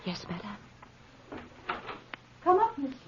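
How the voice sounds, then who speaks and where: Flustered young lady's maid, deferential, breathy, high lively voice, dull vintage radio recording. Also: unhurried, slight background noise, full character voice. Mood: urgent. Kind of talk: old-time radio drama acting.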